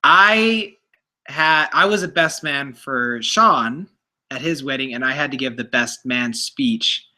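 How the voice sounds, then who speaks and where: A man's voice: mid-range voice, slow pace, bright, forceful tone. A man in his late twenties co-hosting a casual live Q&A, joking and poking fun at himself. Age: 20s. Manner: joking, self-deprecating. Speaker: co-host.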